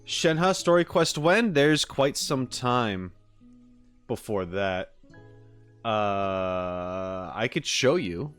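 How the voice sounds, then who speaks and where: A man's voice: on the low side, lively intonation, very slow, a bit bright, smooth. A man live streaming, talking casually and thinking aloud in an easygoing, rambling way. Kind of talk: casual livestream chat. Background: background music.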